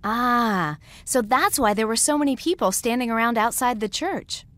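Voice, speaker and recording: A woman's voice: a little high, lively intonation, normal speed, fairly bright tone, no echo. A woman voicing a sensible young woman in a video game, direct and questioning. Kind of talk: video game cutscene voice acting.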